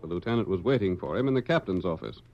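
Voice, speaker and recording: Animated male old-time radio narrator. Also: deep voice, fast talker. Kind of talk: scripted 1940s radio drama narration. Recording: dull vintage radio recording.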